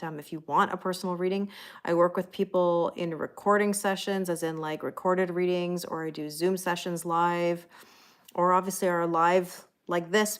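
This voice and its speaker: A middle-aged woman hosting a tarot livestream, chatting casually and thanking viewers by name. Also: fairly low pitch.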